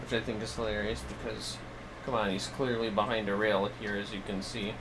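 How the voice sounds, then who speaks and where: Tired, low-key man streaming games. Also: level tone, fairly quick, noisy background. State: tired, drowsy. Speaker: game livestreamer. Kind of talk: casual gaming livestream chat.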